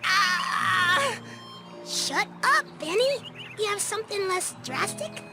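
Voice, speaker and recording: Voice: extremely high pitch, animated, takes it slowly, heavily acted. A performer voicing a small, short-tempered, scheming bully boy in a cartoon video game. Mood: snappish. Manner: snappish, scheming. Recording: loud game music behind.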